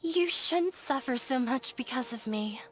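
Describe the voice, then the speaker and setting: An actress voicing a timid young woman in a game who blames herself, speaking softly and apologetically. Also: sits high, expressive, a little slow. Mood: downcast. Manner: soft, hesitant, apologetic. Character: timid young woman, self-blaming and withdrawn. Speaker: game character. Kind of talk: video game voice acting.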